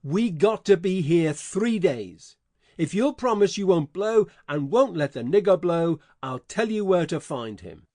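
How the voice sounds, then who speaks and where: A man playing a scheming adult con man, speaking in a gruff, folksy, bullying way in an audiobook dramatization. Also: high-pitched, expressive, unhurried, a little mellow, dry recording, clean studio sound. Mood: commanding.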